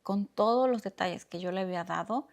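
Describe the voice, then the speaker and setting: A young woman from Oaxaca speaks with a Mexican accent in a medium-pitched voice. She hosts her podcast alone, telling a personal story in a soft, reflective tone. She takes her time and pauses often. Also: vocal fry. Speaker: podcast host.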